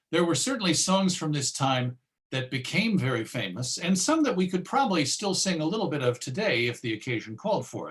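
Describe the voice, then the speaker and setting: An American man presenting an informal history lecture, conversational, with deliberate emphasis. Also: mid-range voice, a bit bright.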